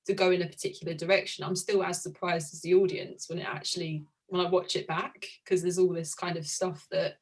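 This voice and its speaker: A woman's voice: fairly low pitch, level tone, fairly quick, hardly any pauses. A woman artist in conversation, reflective, often searching for words and laughing easily. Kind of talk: conversation about art.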